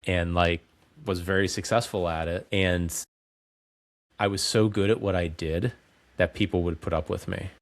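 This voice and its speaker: A man in his forties with a very low, deep voice. He speaks candidly, in a measured way, as a podcast guest reflecting on his past. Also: steady tone. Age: 40s.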